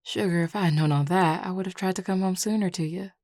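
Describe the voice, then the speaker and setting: A woman's voice: on the low side, fast, a little mellow, no echo, clean studio sound, natural voice. A woman acting a flirtatious, teasing Southern werewolf in an audio roleplay, with a Southern US accent and a colloquial drawl. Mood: playful, flirty.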